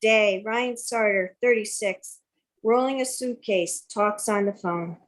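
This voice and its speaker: An older woman reads screen directions from a script. Her pace is slow, and her pitch sits in the middle for a woman. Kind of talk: reading screen directions aloud.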